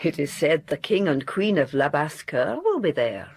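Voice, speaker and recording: A woman's voice: deep voice, very animated, moderate pace, a little mellow, breathy edge, no echo, heavily acted. An actress playing a fond, proud middle-aged English mother in a period audio drama, genteel and theatrical. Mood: fond, brisk. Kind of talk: period radio drama acting.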